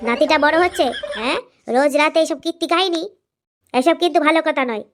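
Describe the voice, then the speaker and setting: A woman voicing an angry, sharp-tongued daughter-in-law in an amateur comedy cartoon, scolding and combative. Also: sits high, soft background music, natural voice. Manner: scolding, combative, rapid-fire retorts.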